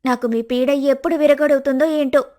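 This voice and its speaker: A woman's voice: sits high, steady tone, fairly quick, mellow, lightly acted. A woman voicing a selfish, scheming young wife in a cartoon story, whiny and spiteful.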